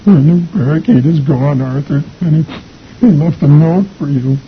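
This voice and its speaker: A man's voice: on the high side, moderate pace, voice pushed up, natural voice. A man voicing a tearful, plaintive comic-strip mother breaking bad news. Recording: dull lo-fi old radio recording, faint hiss.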